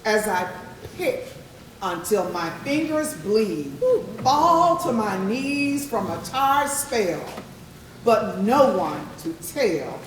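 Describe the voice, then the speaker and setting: Woman poetry reader, dramatic, slow, highly varied pitch, live stage. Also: very animated. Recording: echoey hall, distant mic, faint noise.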